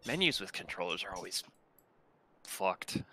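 A man in casual gaming chat with a bright, forward voice. He takes his time with words, yet his pitch moves a lot. He is laid-back and joking. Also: sing-song, measured pace, slight background noise. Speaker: player in a group game stream.

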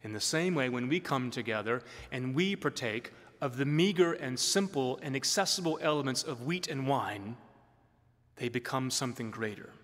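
A middle-aged man delivers a homily as a priest, his voice set at an average male pitch. He explains in measured phrases and leans on key words for emphasis. The sound carries a long reverberant tail from a big stone church. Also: a bit bright. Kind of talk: sermon. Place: large stone church, from a pulpit.

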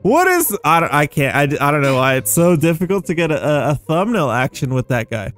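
Young male gamer, higher voice, excitable slangy commentary over background music. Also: fairly quick. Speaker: gaming video host. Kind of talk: casual gameplay commentary.

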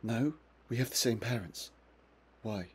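A man's voice: medium pitch, a little slow, breathy edge. An actor playing a distressed, confused man in his twenties in a video game, agitated and defensive. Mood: subdued, explaining. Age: 20s. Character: distressed, confused young man suspected by police. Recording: noisy with background ambience.

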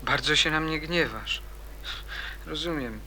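Bright, tense teenage boy. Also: a little high, measured pace, full character voice. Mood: anxious, pleading. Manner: terse and defensive, then pleading. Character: proud, troubled, bruised teenage boy, pleading and defensive. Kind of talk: Polish radio drama acting.

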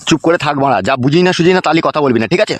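এক পুরুষের গলায় রাগী, ভীষণ দ্রুতভাষী গ্রাম্য মোড়ল. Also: male voice, fairly high pitch, very fast, lightly acted. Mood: bossy, irritated. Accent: rural West Bengal. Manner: blustering, threatening, coarse. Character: angry, foul-mouthed village elder judging a dispute. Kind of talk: comic cartoon dialogue.

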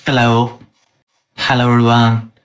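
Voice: medium pitch, completely flat delivery, measured pace. A person speaking as an adult man through an AI voice changer, casually testing a microphone. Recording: dull, processed voice-changer audio.

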